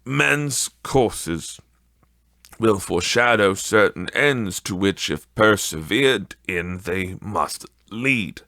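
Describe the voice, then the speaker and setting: Storybook old miser, voiced by a male reader: slow, frightened, repentant, pleading with fervor, captured very dry. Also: fairly low pitch, lively intonation, gravelly, pushed and bright, no room sound, heavily acted. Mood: anxious, pleading. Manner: pleading, fervent, drawn-out delivery. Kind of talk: dramatic audiobook character acting.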